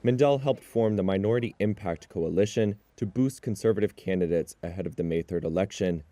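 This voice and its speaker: Deep, mellow male news narrator. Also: slight background noise. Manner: measured, even delivery. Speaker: news reporter.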